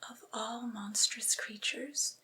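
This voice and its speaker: A woman reading a literary essay aloud for ASMR in a breathy, unvoiced whisper, with hushed, careful phrasing. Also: fairly high pitch, slow, low-quality recording.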